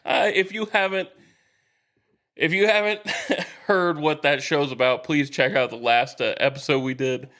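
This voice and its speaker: A man's voice: fairly high pitch, steady tone, measured pace, sharp tone. A man co-hosting a podcast with casual banter, teasing and mock-exasperated. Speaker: podcast co-host.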